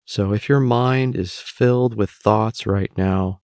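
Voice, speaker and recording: Male meditation guide, lowish pitch, very slow calm delivery with long pauses, dry close-mic sound. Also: no room sound.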